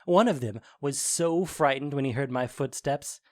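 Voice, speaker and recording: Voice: mid-range voice, moderate pace, lightly acted. An actor playing a mischievous fairy servant in an audio drama, telling things with gleeful, boastful theatricality. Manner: animated, boastful storytelling.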